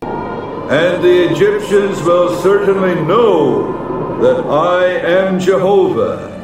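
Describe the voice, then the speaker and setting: An actor playing a proud, commanding male god in an audio drama, speaking in slow, grave proclamations. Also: on the high side. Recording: echoey and distant over loud music.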